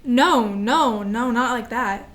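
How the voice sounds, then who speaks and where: A woman's voice: on the high side, takes it slowly, fairly bright tone. A woman playing an anxious, defiant and unstable younger sister in a staged reading of a play. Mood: frantic, uneasy.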